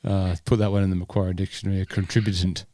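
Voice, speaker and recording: A man's voice: deep voice, level tone, racing, warm tone. A man co-hosting a golf podcast, chatting in a rambling, self-deprecating way. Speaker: podcast co-host. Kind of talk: casual podcast chat.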